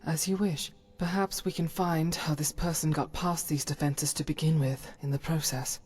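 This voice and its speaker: Female actor for solemn, pious adventurer in fantasy game; deep-set voice, measured archaic delivery. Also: steady tone, darkish tone, breathy edge, faint music behind. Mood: wary. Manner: formal, archaic phrasing, measured and earnest. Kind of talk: scripted fantasy game dialogue.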